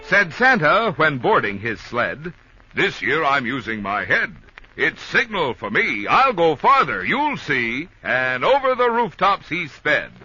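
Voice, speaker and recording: An American man reading a playful sponsor pitch as a 1950s radio announcer. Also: very animated, measured pace, sharp tone, faint music behind. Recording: muffled, faintly noisy 1950s radio recording.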